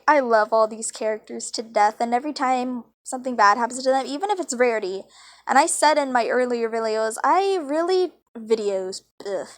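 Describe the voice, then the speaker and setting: A woman's voice: fairly high pitch, no echo. A young woman reading a story aloud casually, with informal, self-deprecating, giggly asides. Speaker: fan fiction reader. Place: dry, close recording on a phone.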